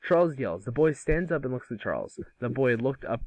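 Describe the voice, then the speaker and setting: A man narrating a script aloud in an amateur table read, with a halting, stumbling delivery. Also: medium pitch, big pitch swings, a little mellow, dry recording, rough recording.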